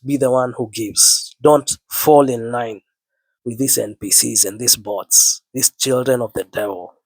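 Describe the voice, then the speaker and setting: A man with the flu preaching earnestly to his viewers, his voice low and worn from illness, often repeating himself. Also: a little mellow, no echo, low-quality recording. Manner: earnest, repeats words, biblical phrasing. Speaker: online preacher. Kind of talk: spoken sermon to camera.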